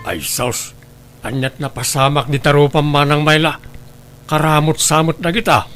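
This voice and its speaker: A man's voice: fairly high pitch, steady tone, moderate pace, music in the background, natural voice. A man playing a concerned neighbour in a radio drama. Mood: shocked, concerned. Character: concerned, shocked male relative or neighbour.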